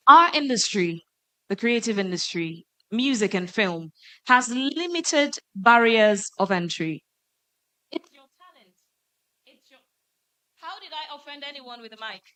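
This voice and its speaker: A woman moderating a conference panel, posing questions to the panelists in a lively way. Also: fairly high pitch, a little slow, pauses often, no echo. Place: conference stage.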